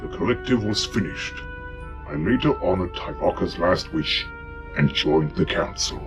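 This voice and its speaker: Casting: male actor for wise, humble alien monk, low voice, solemn and measured, beneath loud music. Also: lively intonation. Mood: reflective. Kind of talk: dramatic comic dub narration.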